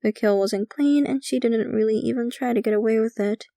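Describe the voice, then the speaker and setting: A woman acts out a possessive yandere vampire girlfriend in an ASMR roleplay. Her voice is on the high side with plenty of fry in it, and she takes her time, doting and sinister at once. The sound is close and very dry. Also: warm tone, dry recording, lightly acted. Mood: casually sinister. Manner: unhurried, doting yet possessive, casually sinister. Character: possessive centuries-old yandere vampire girlfriend, sweetly menacing. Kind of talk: ASMR roleplay, intimate scripted monologue to the listener.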